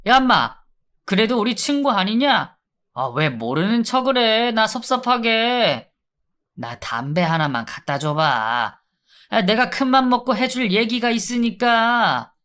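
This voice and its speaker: A brash young male inmate in a storybook tale, as a man puts him on, whining and pleading in a raised, strained voice. He taunts and cries out, much higher and louder than the narrator's usual tone. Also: big pitch swings, a little slow, heavily acted. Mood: wheedling. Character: brash whiny male inmate in withdrawal, narrator's old school bully.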